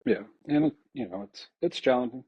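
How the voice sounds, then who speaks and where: A man's voice: medium pitch, brisk, breathy edge, no echo. An American man in casual podcast conversation, talking as an air traffic controller in an informal, hesitant, reflective way. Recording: slightly dull, lo-fi voice track.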